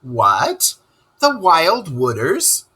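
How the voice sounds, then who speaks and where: A man voicing a vain, self-pitying male toad with dramatic, drawn-out delivery in a children's storybook read-aloud. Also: fairly high pitch, wildly swooping pitch, pushed and bright, breathy edge, pitched above the performer's own voice, heavily acted. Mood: alarmed.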